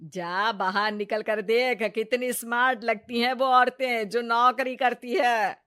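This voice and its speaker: A woman's voice: on the high side, steady tone, slow pace, sharp tone, dry recording, studio quality. A woman voicing a nagging middle-aged mother-in-law in a cartoon story, scolding and pushy.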